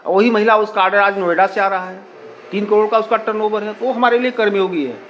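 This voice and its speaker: A man's voice: high-pitched, steady tone, fast, fairly bright tone. A man giving explanatory interview answers, repeating himself and mixing in English words. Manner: repetitive, peppers Hindi with English words and filler phrases. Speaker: community radio station representative being interviewed.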